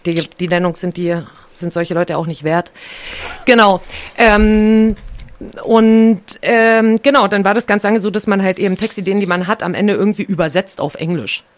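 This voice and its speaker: A woman's voice: medium pitch, steady tone, moderate pace. A young German woman chatting casually as a co-host, self-deprecating and full of fillers. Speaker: co-host, songwriter in a band. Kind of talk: casual radio chat.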